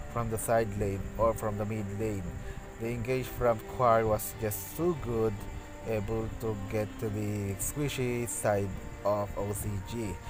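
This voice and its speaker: A man giving practice play-by-play commentary on an esports match, halting with frequent restarts and bursts of excitement on big plays. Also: lowish voice, poor audio. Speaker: esports caster.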